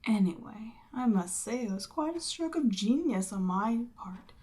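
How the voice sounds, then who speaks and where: A woman voicing a seductive, domineering Demon Queen in an ASMR roleplay, breathy and often near a whisper, with a smug, coaxing, commanding manner. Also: a little high, animated, moderate pace, mellow, lightly acted. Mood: smug, amused.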